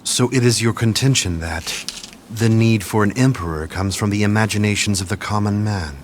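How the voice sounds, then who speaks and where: A man playing a shrewd, haughty military strategist of ancient China in a video game cutscene, speaking in a measured, condescending, theatrical way. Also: fairly low pitch, slight background noise, natural voice. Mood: intrigued. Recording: loud music under the voice. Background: game music.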